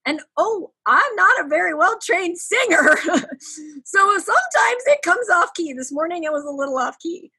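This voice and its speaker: A woman in her forties with a high, youthful-sounding voice talks freely in a relaxed podcast chat. Her tone is bright and forward, and she laughs easily as she shares stories. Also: normal speed, poor audio. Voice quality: higher-pitched, youthful-sounding. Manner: expressive, laughs often, animated. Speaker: coach. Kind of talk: casual podcast conversation.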